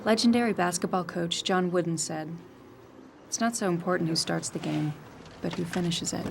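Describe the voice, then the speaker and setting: An actress playing a serious criminal investigator, reciting quotations in a measured TV drama voice-over. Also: female voice, lowish voice, gravelly, noisy background. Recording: music under the voice.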